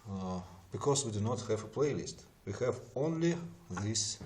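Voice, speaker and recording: A man who is not a native English speaker narrates an explanatory software tutorial in a methodical, step-by-step way. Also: fairly low pitch, expressive, normal speed.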